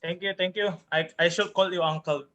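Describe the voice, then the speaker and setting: A man with a fairly high, sharply bright voice takes part in a meeting. He speaks in a polite, informal way. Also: steady tone, a little fast. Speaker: meeting participant.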